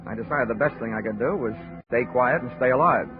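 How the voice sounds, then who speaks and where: A man's voice: medium pitch, fast, natural voice. A man as a hardboiled investigator narrating. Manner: dry, hardboiled wisecracks. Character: wisecracking male insurance investigator. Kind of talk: 1940s radio acting, first-person narration. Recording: tinny 1940s radio broadcast with music.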